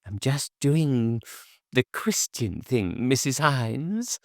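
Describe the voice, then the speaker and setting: A man's voice: mid-range voice, big pitch swings, slow, dry recording, studio quality, voice pushed up. A man voicing a fussy elderly woman with a thin, reedy voice, in an audiobook reading. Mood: self-righteous.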